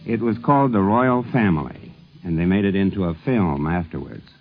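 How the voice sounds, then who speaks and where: A middle-aged man narrating a radio documentary as its host, in a measured scripted style, on an old 1950s broadcast recording. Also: low voice, animated, phone-quality sound, faint music behind.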